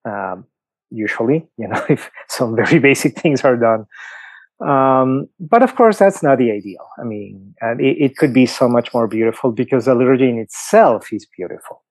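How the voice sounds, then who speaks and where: A man's voice: medium pitch, animated, moderate pace, no echo. A middle-aged man from Peru with a Peruvian Spanish accent, a priest explaining his views in a podcast interview with many fillers and examples. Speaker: priest guest.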